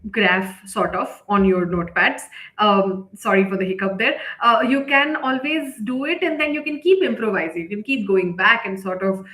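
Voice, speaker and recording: A woman's voice: medium pitch, normal speed, pushed and bright. A woman instructor giving a live, unscripted online lesson, explaining step by step with repeated emphasis and frequent check-in tags. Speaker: writing workshop instructor. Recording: echoey, roomy sound.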